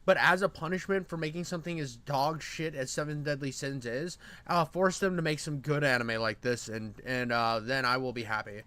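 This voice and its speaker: A man's voice: mid-range voice, steady tone, moderate pace, hardly any pauses, sharp tone. A man giving casual, profane reaction commentary in a crude, slangy, rambling way. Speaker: reaction video host.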